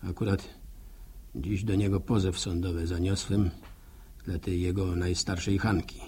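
An actor playing a sympathetic, grumbling village countryman who muses aloud, with a gravelly, husky voice, in a radio drama. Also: low-pitched, a little mellow, exaggerated voice. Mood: sorrowful. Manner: rustic, musing, drawn-out, hesitant repetitions. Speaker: narrator character in a radio serial. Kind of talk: folksy radio drama monologue.

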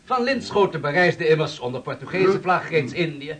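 A man's voice: on the high side, sing-song, normal speed, fairly bright tone, a little hiss or hum. A man playing an insistent merchant who urges and argues about sea routes. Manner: persuasive, urgent. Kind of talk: radio drama acting.

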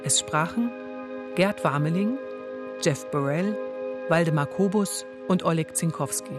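A man with a high voice reads out radio drama credits at a slow, measured pace. It is a scripted broadcast announcement, with music clearly playing behind him.

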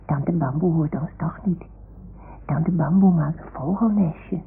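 A woman's voice: deep voice, unhurried. A woman playing a small, devoted toy dog in an old radio drama, childlike and eager to please. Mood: soft, confiding. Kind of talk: 1960s Dutch radio drama acting. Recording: noisy, muffled old radio recording.

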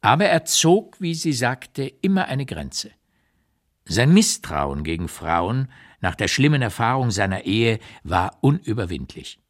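An actor playing an aged, blunt, keenly observant writer looking back, in a measured literary radio reading with deliberate pauses. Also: male voice, mid-range voice, big pitch swings. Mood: reflective. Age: older.

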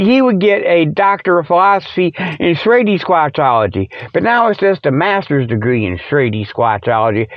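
Male comedy podcaster, colloquial and digressive mock pitchman, somewhat high voice, dry close sound. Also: no room sound. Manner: folksy, colloquial speech. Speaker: podcast host. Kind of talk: rambling comedic monologue. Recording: dull, lo-fi radio-style audio.

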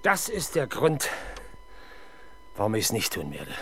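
A gruff, insistent police inspector of middle age, in an old radio crime drama. He is stubborn, embittered and quick-tempered, with a sardonic edge to his words. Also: lowish voice, wildly swooping pitch, a bit bright, slight background noise, full character voice. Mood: determined. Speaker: actor in a radio crime play. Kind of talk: 1970s German radio drama acting.

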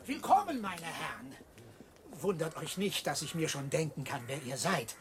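An actor playing an officious, courteous monastery cellarer in a radio play, ceremonious and excitable. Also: male voice, on the high side, moderate pace, slight background noise.

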